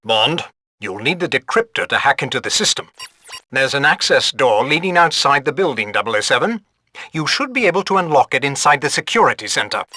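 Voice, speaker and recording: An actor playing a fussy British gadget master in a spy video game, speaking formally in a clipped, dryly exasperated way. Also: male voice, mid-range voice, sing-song, brisk, bright, forceful tone. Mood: brisk, instructive. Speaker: mission support quartermaster. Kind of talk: video game mission briefing voice acting.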